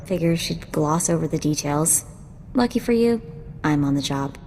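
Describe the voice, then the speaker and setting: A woman's voice: deep voice, animated, normal speed, darkish tone, long reverb tail, a little hiss or hum. A woman playing a confident, casual young sidekick in a video game, explaining things breezily. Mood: upbeat, confident. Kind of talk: video game cutscene acting.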